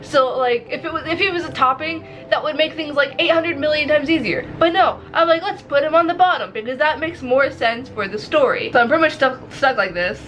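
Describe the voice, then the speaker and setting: A woman talks in a casual vlog style with a bright, fairly high voice. She is expressive and pokes fun at herself, swearing freely. Soft music plays behind her. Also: fairly quick, few pauses. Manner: animated, expressive, self-mocking, swears casually. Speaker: visual novel developer and vlogger. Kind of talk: casual video diary chat. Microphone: recording to camera. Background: background music.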